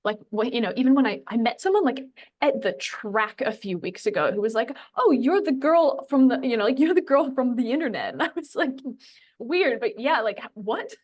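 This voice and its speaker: A woman's voice: fairly high pitch, expressive, normal speed. A woman talking casually as a podcast guest, informal and self-deprecating, phrasing things loosely. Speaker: podcast guest, fitness coach. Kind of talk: casual conversational talk.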